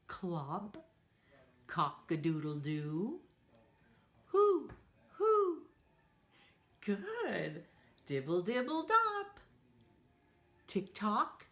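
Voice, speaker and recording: A woman hosting a read-aloud storytime for young children, speaking in a playful, encouraging way. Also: medium pitch. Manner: animated, encouraging, asks listeners to join in; playful, acts out sound effects.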